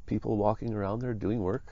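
A man casually narrating his walk through abandoned houses, easygoing and halting, with frequent chuckles. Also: fairly low pitch, gravelly, warm tone, no room sound, muffled recording. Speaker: vlogger. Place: outdoors in a rural ghost town and inside abandoned houses.

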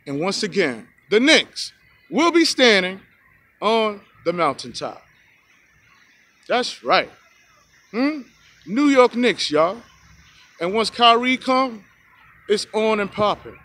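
A man in his forties vlogging outdoors into a phone held at arm's length, giving excited, preachy sports opinions and calling out "y'all" to his viewers. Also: sits high, slight background noise. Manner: hyped, preachy, keeps saying "y'all". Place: outdoors by a rocky hill in a park. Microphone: phone selfie camera at arm's length.

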